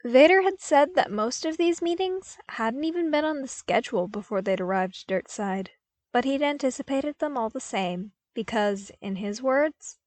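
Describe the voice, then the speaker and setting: A woman reading fiction aloud in an expressive, wry, conversational and emphatic storytelling style. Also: sits high, moderate pace, no echo. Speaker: podfic narrator.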